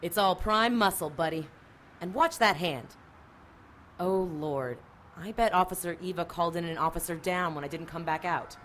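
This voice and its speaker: A woman's voice: fairly low pitch, moderate pace, a bit bright, a little hiss or hum, close to an everyday voice. A woman playing a feisty, skeptical sidekick in an audio drama, blunt and full of questions. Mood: urgent, sassy.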